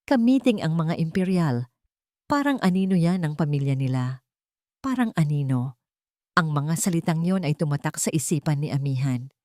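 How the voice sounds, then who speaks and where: A woman's voice: sits low, expressive, normal speed, a little mellow, no room sound, studio quality. Woman narrator, dramatically swooping delivery. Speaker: story narrator. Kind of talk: dramatic scripted narration of a love story.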